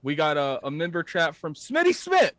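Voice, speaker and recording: Man, podcast co-host, high lively voice, informal chat read-outs, close dry sound. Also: normal speed, dry recording. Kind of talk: reading out live chat messages.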